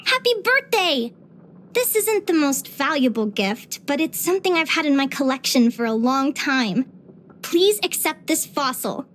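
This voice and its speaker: A woman voicing a cheerful, curious young adventurer and naturalist in a video game, with an earnest, expressive delivery. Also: high voice, unhurried, slight background noise, exaggerated voice. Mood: bright, sweet. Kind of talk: video game character voice lines.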